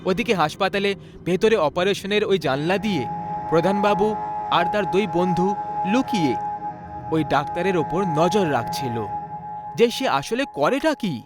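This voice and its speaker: A male storyteller narrates a scripted horror tale. His voice sits somewhat high for a man, and music plays clearly behind it. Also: expressive, moderate pace, long pauses.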